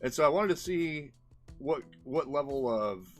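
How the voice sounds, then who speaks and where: A man's voice: mid-range voice, animated, measured pace, faint music behind. A man giving casual commentary as an indie game developer, rambling and thinking aloud as he goes. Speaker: indie game developer presenting his experiments. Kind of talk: casual screen-share commentary.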